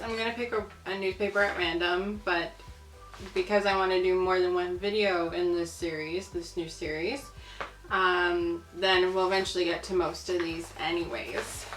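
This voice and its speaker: A woman's voice: fairly low pitch, fairly bright tone. A woman hosting a casual video, chatting and marvelling as she reads aloud from old printed material. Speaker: video host. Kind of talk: casual chat while reading aloud from old newspapers. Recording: roomy echo, faint music behind.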